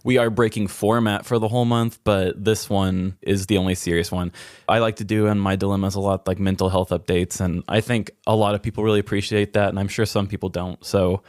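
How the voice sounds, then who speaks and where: A man with a fairly low voice talks personally and conversationally as a podcast co-host. He sounds earnest and feels his way toward the right words. The sound is close and dry. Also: flat delivery, brisk, a little mellow, dry recording.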